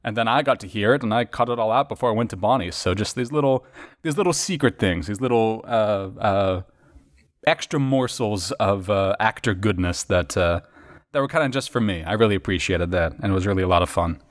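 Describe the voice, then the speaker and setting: A man's voice: on the low side, a little fast. A man who works as a voice actor and editor on a podcast, chatting casually and reflectively in a rambling storyteller's way. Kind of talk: casual reflective chat about acting work.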